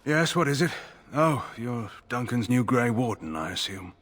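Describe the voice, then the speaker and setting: A man playing a stern, weary middle-aged nobleman general in a fantasy game, speaking in a formal, measured, curt way. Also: mid-range voice, lively intonation, a bit bright. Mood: brisk. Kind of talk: fantasy video game dialogue.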